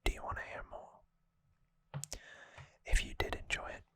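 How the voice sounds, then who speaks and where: An ASMR host speaking in a breathy, unvoiced whisper, gentle and earnest, slightly rambling to viewers, close on the microphone. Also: unhurried, no echo. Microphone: close microphone in a dry space.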